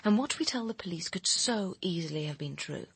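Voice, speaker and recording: An actress with a British accent playing a bitter, persuasive young personal assistant with secrets in a radio crime drama, speaking in a pressing, cutting, articulate manner. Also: female voice, on the low side, animated, a little fast, gravelly, slightly rough, no echo, exaggerated voice. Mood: urgent, persuasive.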